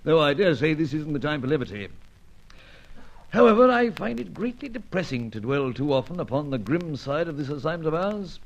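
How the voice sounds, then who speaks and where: A jovial upper-class British spymaster in an old radio adventure. He speaks in a fairly high, animated voice, pompous and old-fashioned, with a teasing avuncular air. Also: brisk, gravelly, muffled recording. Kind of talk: vintage radio adventure serial acting.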